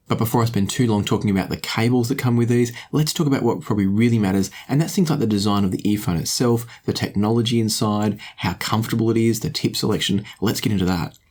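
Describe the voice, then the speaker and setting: Low-voiced man reviewing audio gear. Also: a little mellow. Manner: measured, conversational, thinks aloud and invites viewer comments. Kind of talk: product review to camera.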